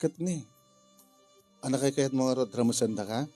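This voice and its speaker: A man with an Ilocano Filipino accent talking casually through a drama story in a halting, rambling, jokey way. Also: mid-range voice, dark tone, faint music behind. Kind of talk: casual spoken story briefing.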